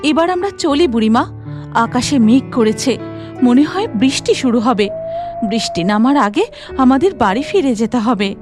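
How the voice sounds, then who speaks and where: A woman voicing a devout village wife in a cartoon, emotional and pleading, with an expressive, exclaiming delivery. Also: high-pitched, normal speed, over music. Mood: earnest.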